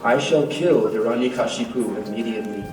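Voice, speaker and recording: A man playing a majestic, reassuring supreme god in a devotional puppet show, measured and solemn. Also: medium pitch, close to an everyday voice. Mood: solemn, resolute. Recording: roomy, distant mic, music behind.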